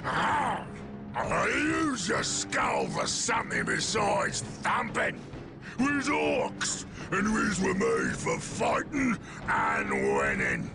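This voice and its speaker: A crude orc warlord, gravelly and very deep, roaring, slow and swooping, over score. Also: male voice, wildly swooping pitch, heavily acted. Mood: bellowing. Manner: shouting, gravelly, crude slang. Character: brutish loud male orc boss. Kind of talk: video game cutscene acting. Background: music.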